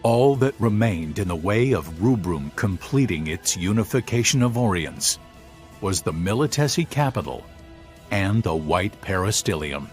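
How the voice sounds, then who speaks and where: An actor playing a solemn chronicler, giving formal, measured scripted narration of a war in a video game. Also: male voice, fairly low pitch, expressive, soft background music, natural voice. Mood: grave.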